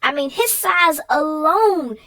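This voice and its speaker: A woman co-host chatting casually and agreeably in an unhurried way about a children's story. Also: sits high, lively intonation, pushed and bright.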